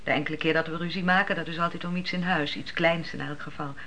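An actress playing a loyal, slightly hesitant young wife answering frankly in a radio drama. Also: female voice, low voice, steady tone, fast, pushed and bright, a little hiss or hum. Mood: hesitant, sincere.

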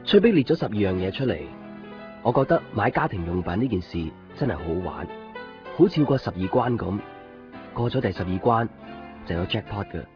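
Actor as wistful male narrator, Hong Kong Cantonese, low voice, intimate first-person storytelling, guitar music, muffled radio recording. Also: lightly acted. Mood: bemused, hopeful. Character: wistful young man narrating his love story. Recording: dull lo-fi radio, music behind.

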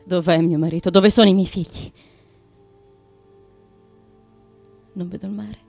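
A woman's voice: on the low side, fairly even intonation, quick pace, gravelly, darkish tone, no room sound, faint music behind. A woman acting the bewildered, lost wife of an ancient Greek tyrant, in a disoriented, questioning, fragmented theatrical monologue for radio. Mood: dazed, cold.

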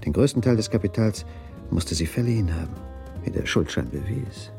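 A man's voice: low-pitched, expressive, moderate pace, gravelly, dark tone, faint music behind. An actor playing a bewildered, brooding husband in a radio crime drama, questioning and pondering his wife's secret. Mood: brooding, realising. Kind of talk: radio crime drama dialogue and inner monologue.

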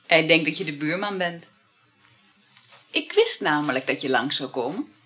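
A woman's voice: low voice, expressive, normal speed, pushed and bright, heavily acted. An actress playing a chatty, flirtatious married woman in a Dutch radio play, effusive and full of sighs. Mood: coaxing.

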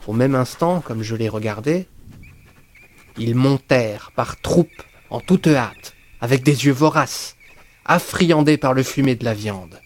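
A man reading a first-person horror story as an audiobook narrator, in a measured, deliberate and expressive literary style. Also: medium pitch.

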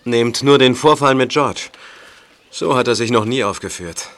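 An actor in a German radio play voicing a firm uncle who raises lions and knows how to soothe them. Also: male voice, medium pitch, moderate pace, a bit bright, natural voice. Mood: concerned. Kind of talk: German audio drama acting.

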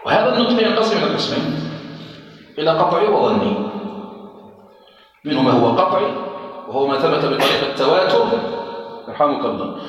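A male sheikh teaching a lesson on legal theory, repeating key phrases and often asking whether it is clear. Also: a little high, sing-song, fairly quick, long pauses, lo-fi sound. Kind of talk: classroom lecture. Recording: echoey hall, mic far off.

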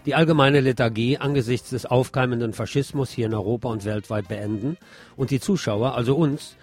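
A man hosting a radio show, delivering an expressive theater review as a monologue and addressing his listeners formally. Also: mid-range voice, brisk, faint music behind.

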